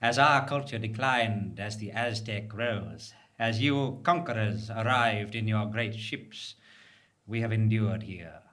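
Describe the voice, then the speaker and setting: A man playing a serene, ageless high priest in a live comic audio drama, speaking in a measured, formal, grandiose way. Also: a bit bright. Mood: grand, proud. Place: small theatre stage, live performance.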